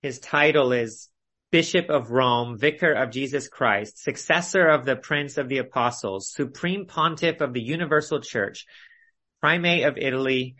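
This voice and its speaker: Male preacher, bright forceful voice, mid pitch, didactic, measured phrasing, repetition for emphasis. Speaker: preacher leading a Bible study. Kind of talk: expository sermon with illustrations.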